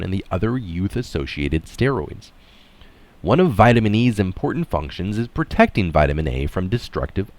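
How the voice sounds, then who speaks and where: Deep-voiced man reading an article, lively intonation. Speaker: reader and commentator. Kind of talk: read-aloud article with informal asides.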